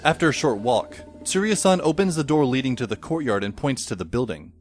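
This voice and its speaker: A man's voice: mid-range voice, expressive, fairly quick, faint music behind, close to an everyday voice. A man playing a wry teenage schoolboy who narrates an audio drama in a dry, descriptive way.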